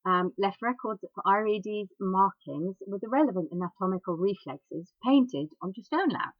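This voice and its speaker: A woman's voice: medium pitch, lively intonation, normal speed, dry recording. Chatty woman host, dull dry sound. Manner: chatty asides, hesitant fillers. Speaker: radio host. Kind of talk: informal talk on iridology history.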